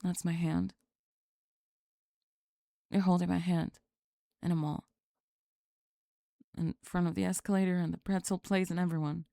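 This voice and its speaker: A woman voicing a sulky young goth bully who is flustered and secretly lovestruck, halting and sighing in an ASMR roleplay. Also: on the low side, gravelly, mellow, no echo, clean studio sound, voice pushed down. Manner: halting, sighing, grudgingly tender and defensive. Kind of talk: ASMR roleplay drama, spoken to the listener.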